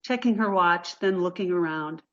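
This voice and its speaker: A woman's voice: lowish voice, moderate pace, a bit bright, lo-fi sound. A woman reading stage directions as the narrator of a play, in scripted narration.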